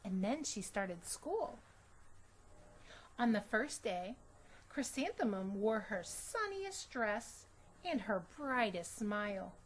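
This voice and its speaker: A woman reading a children's picture book aloud in an expressive, deliberate storytelling manner. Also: mid-range voice, a little hiss or hum, rough recording. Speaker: storytime reader.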